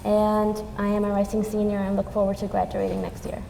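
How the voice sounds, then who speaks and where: Young woman from Peru answering on a stage panel, hesitant, flat gravelly voice, hall reverb. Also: medium pitch, fairly quick. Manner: hesitant, many fillers. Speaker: student panelist. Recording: reverberant hall, faint noise.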